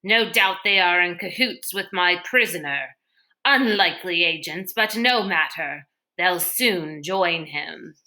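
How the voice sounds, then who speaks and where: A woman's voice: on the low side, expressive, slow pace, pushed and bright, full character voice. A woman voicing an irritable, coldly menacing male villain in a storybook, speaking formally with clipped sarcasm. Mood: displeased.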